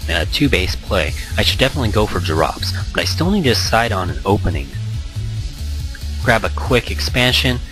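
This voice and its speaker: A man's voice: lowish voice, animated, moderate pace, poor audio. A man reading a visual novel aloud with expression, stumbling over words now and then and rereading them, mixed with casual asides. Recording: background music under the voice. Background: music.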